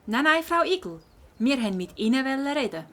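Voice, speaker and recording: Woman playing a fairly high-voiced Swiss German schoolgirl sleuth. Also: sing-song, a little fast, a bit bright. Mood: probing. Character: spirited young schoolgirl detective, curious and determined. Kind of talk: children's audio play acting.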